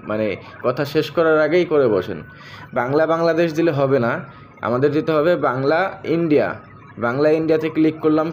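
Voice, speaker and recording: Fast-talking Bangladeshi man giving a tutorial. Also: on the high side, a little mellow. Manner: addresses viewers directly, repeats each step. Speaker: tech tutorial host. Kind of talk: step-by-step app tutorial narration. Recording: faint background noise.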